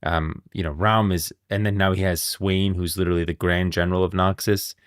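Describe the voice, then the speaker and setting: A man with a low voice talks as a game streamer giving live lore commentary. His delivery is casual and rambling, often repeating itself as he thinks aloud. The sound is dry and free of room echo. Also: little pitch movement, brisk, clean tone.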